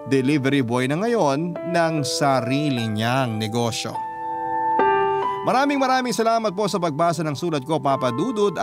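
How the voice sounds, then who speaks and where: A man with a Filipino accent, a radio host reading a listener's letter as scripted first-person storytelling. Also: mid-range voice. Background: music under the voice.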